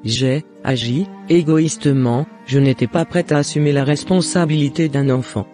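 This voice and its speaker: A man voicing a remorseful middle-aged mother in scripted cartoon dialogue, explaining herself in a measured, apologetic way. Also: fairly high pitch, a little mellow, soft background music, natural voice.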